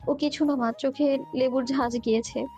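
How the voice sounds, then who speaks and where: A woman's voice: sits high, fairly even intonation, moderate pace, mellow, soft background music. A woman playing a simple, loving, wounded young daughter in an audio love story, plaintive, on a muffled recording. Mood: covering hurt.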